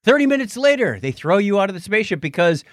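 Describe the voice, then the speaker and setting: A man's voice: sits high, very animated, fast talker, no room sound, studio quality. An American man co-hosting a podcast, chatting casually in a playful, joking way. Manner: jokey, playful. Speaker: podcast co-host. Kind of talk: casual comedic chat.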